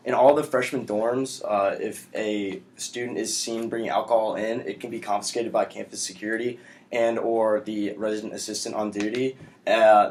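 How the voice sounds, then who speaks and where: A young American man giving a prepared classroom presentation in a halting, hesitant way with frequent ums. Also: on the low side. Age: young adult. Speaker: student speaker. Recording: echoey room.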